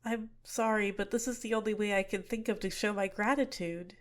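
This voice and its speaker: A young woman playing a scheming, manipulative villainess in an ASMR roleplay, speaking softly and close to the mic. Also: mid-range voice, fairly even intonation, a little fast, lightly acted. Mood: shy, apologetic.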